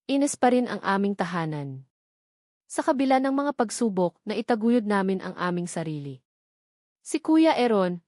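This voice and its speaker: A woman's voice: medium pitch, a little mellow, no echo, clean studio sound. A woman reading a scripted first-person love story as dramatic narration, in an emotive, sentimental storytelling manner.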